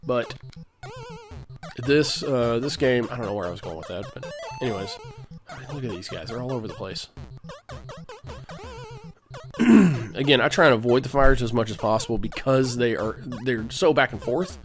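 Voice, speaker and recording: A man with a cold giving rambling, casual commentary while playing an old video game, often clearing his throat and using fillers. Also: medium pitch, lively intonation, moderate pace. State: has a cold. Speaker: let's play host. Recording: game music behind the voice.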